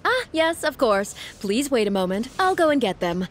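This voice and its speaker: A woman's voice: fairly high pitch, very animated, normal speed, a little hiss or hum. A woman voicing a polite young female attendant in a video game, courteous and helpful. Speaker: game character.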